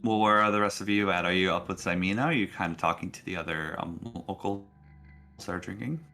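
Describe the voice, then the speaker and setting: A man casually narrating a tabletop roleplaying game as game master, explaining things in a halting, informal way. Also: low-pitched, sharp tone, faint music behind.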